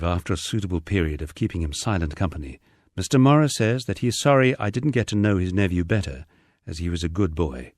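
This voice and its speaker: A man's voice: low voice, darkish tone, dry recording. A man reading a first-person diary-style memoir aloud with dry, understated storytelling. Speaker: audiobook narrator.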